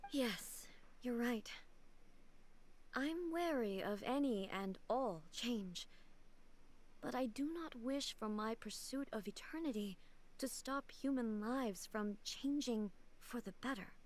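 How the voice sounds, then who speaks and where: A woman's voice: on the high side, expressive. An actress playing a dignified, reserved female deity ruler in a video game, speaking formally and earnestly. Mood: sincere, grateful. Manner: formal, measured, articulate.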